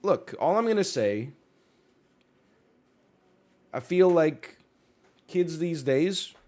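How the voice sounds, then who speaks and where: A man's voice: fairly high pitch, expressive, drawn-out words, darkish tone. A young man from Canada chatting casually on a livestream, slightly congested, rambling and correcting himself with jokey asides. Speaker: streamer. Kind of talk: casual chat.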